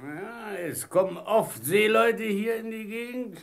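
An actor playing a gruff, boisterous old rum-drinking sea captain with a creaky, gravelly voice in a German radio play. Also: male voice, high voice. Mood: wary, conspiratorial. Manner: blustering, drawn-out sailor talk with chuckles.

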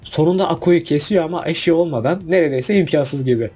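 A man talks through a countdown in a casual, chatty voice-over. His voice sits a little high but sounds mellow and husky. Faint music plays underneath. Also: fast talker. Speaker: video narrator. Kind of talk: list video voice-over.

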